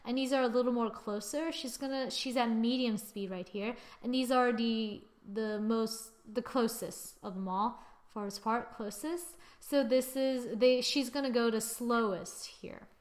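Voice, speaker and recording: A young adult woman giving a casual software tutorial, with a playful, exclaiming manner and little sing-song asides. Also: on the high side, steady tone, unhurried. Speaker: tutorial presenter. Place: screen-recorded voice-over.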